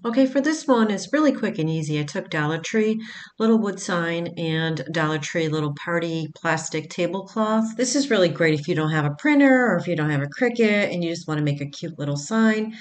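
Low-voiced woman explaining a craft. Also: animated, brisk, a little hiss or hum. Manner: plain step-by-step explaining with fillers. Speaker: craft channel host. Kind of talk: casual how-to voice-over.

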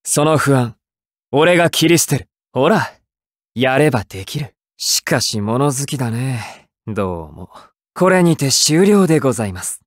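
A man's voice: mid-range voice, animated, a little slow, dry recording, clean studio sound. An actor playing a cool, mocking young male assassin in an anime fighting game, with a detached, theatrical delivery.

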